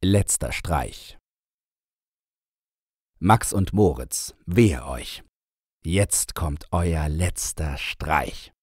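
A man narrating a storybook in verse as an audiobook reader, measured and with dramatic pauses. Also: rumbling low, animated, breathy edge, no echo, studio quality.

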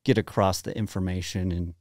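A man's voice: deep voice, fairly even intonation, brisk, darkish tone, no room sound. A young man from Texas with a light Texan accent, chatting casually as a podcast guest in a small studio, rambling with many fillers. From Dallas, Texas. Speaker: guest musician. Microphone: studio mic on boom arm, headphones.

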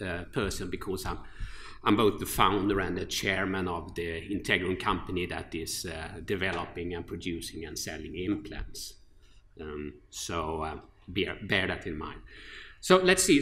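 A middle-aged Swedish man speaks at a podium in a large lecture hall, his voice low-pitched and carrying a roomy echo. He talks in a relaxed way, full of fillers and restarts, in English with a clear Swedish accent. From Sweden. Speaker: orthopedic surgeon and researcher. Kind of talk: conference lecture. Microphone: podium microphone.

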